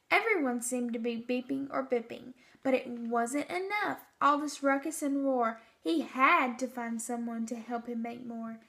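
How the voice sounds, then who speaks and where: Woman teacher, read-aloud for children: high, slow, expressive, with some fry. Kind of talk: storybook narration and instructions to children.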